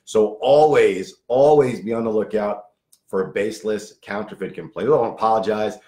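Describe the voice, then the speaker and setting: A man in his fifties with a hoarse voice, a lawyer giving a direct news briefing and repeating key points for emphasis. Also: fairly low pitch, moderate pace, dark tone, echoey room. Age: 50s. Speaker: lawyer for online sellers.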